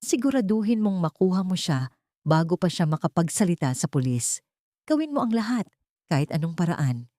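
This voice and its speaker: A woman's voice: lowish voice, sing-song, moderate pace, dark tone, no room sound, studio quality. A woman voicing a ruthless, scheming man giving cold orders. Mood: menacing.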